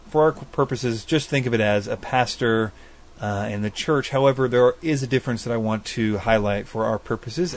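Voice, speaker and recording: A man hosts a faith-based call-in style radio program and works through listener questions in a relaxed teaching voice. He is earnest and thoughtful. He weighs his points as he goes and lays them out plainly. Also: lowish voice, a little hiss or hum. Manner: earnest and thoughtful, spells out addresses slowly. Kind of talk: casual explanatory Bible teaching.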